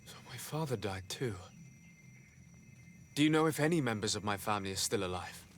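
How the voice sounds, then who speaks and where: A man's voice: lowish voice, lively intonation, fairly quick, fairly bright tone, slight background noise. An actor playing a polite, earnest male adventurer in a video game. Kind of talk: video game cutscene acting.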